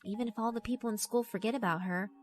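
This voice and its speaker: A woman's voice: a little high, fast talker, a little hiss or hum, voice pushed up, natural voice. A woman voicing a mischievous, predatory girl spirit in a horror visual novel, teasing and politely condescending. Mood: composed. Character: mischievous, predatory yokai schoolgirl, doll-like, elegant.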